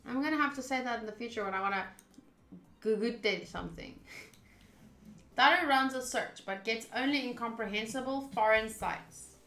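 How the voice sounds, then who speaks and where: Woman game streamer, bright and slightly high, reading aloud, casual asides, echoey room, faint music. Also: moderate pace. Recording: roomy echo, faint game music behind.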